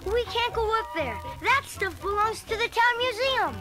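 A woman's voice: extremely high pitch, normal speed. An eager young boy leader. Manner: earnest, excitable. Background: music.

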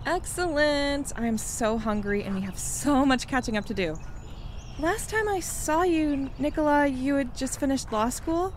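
A woman's voice: high-pitched, measured pace, a little hiss or hum. A woman playing a breezy young friend, slightly guarded, in an amateur audio drama. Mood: cheerful.

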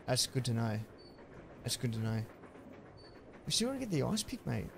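A man's voice: medium pitch, sing-song, normal speed, creaky, background noise. Laid-back Australian man streaming a game. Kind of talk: casual live-stream chat while gaming. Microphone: headset.

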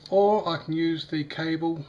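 A man with a slightly croaky voice, demonstrating a device in a casual, hesitant way with many fillers. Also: a little high, steady tone, measured pace, darkish tone, muffled recording. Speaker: tech unboxing vlogger. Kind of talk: casual product demo. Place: small indoor room at a table.

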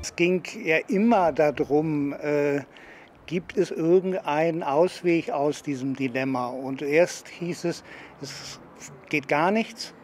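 A man in his sixties giving a spontaneous, halting interview answer as a managing director. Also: on the high side, darkish tone, soft background music. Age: 60s. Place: outdoor courtyard.

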